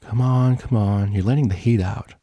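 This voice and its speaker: A man's voice: on the low side, steady tone, moderate pace, mellow, dry recording. A sleepy storybook mare, acted by a man, speaks wearily and bluntly. She is a grumpy but kind shopkeeper. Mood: tired. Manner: weary, blunt.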